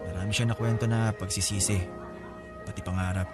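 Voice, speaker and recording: Low-voiced, laid-back older brother played by a man. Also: steady tone, brisk, close to an everyday voice. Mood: apologetic, reflective. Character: laid-back, candid womanizing older half-brother in Filipino radio drama. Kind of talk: Tagalog radio drama dialogue, conversational. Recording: music bed behind the voice.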